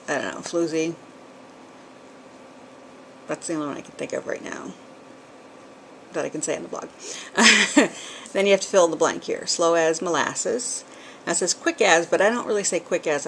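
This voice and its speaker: A woman's voice: low-pitched, vocal fry, slight background noise, rough recording. A middle-aged woman from New England with a New England accent and a bit of Southern drawl, chatting casually at home into a camcorder, rambling with lots of ums. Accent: New England, with some Southern. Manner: chatty, rambling, with frequent ums and small laughs. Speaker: vlogger. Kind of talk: casual chat. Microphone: camcorder built-in mic.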